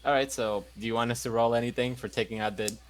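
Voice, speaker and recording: Excitable swearing man on a call. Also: medium pitch, fast talker. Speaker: player. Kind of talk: tabletop game over a call. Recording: faint background noise.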